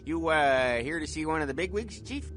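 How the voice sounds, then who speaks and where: An actor playing a chummy, dim, eager night-shift security guard in a comic video game, bumbling and over-friendly. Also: male voice, a little high, animated, normal speed, fairly bright tone, a little hiss or hum. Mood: chummy, curious.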